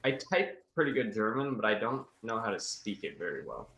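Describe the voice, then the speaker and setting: A man's voice: fairly low pitch, very animated, fairly quick, sharp tone. A man co-hosting a podcast in casual chat, often stammering over repeated words, recorded in an echoing room. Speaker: podcast co-host. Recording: roomy echo, background noise, clicks.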